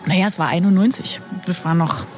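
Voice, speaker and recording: A woman's voice: lowish voice, a little fast, faint music behind. A woman, a guest telling stories in a casual interview, chatty and full of fillers. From Berlin. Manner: chatty storyteller with many fillers. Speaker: guest, former flight attendant.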